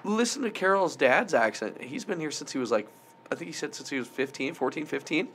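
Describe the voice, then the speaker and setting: A man's voice: a little high, expressive, fairly quick, fairly bright tone, slight background noise. A man from Montana co-hosting a podcast, chatting casually and teasing his friend with frequent laughs. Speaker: podcast co-host. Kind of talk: casual chat.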